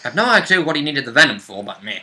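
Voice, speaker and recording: Very bright, forceful man chatting about games. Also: mid-range voice, very animated, fast. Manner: interjects with corrections and asides. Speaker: co-host recapping a roleplay session. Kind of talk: casual chat about game rules.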